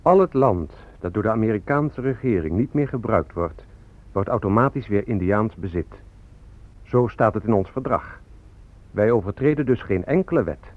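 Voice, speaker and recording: Defiant activist spokesman, very animated delivery. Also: fairly low pitch, normal speed, phone-quality sound. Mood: proud, firm. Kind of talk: radio drama acting, declamatory.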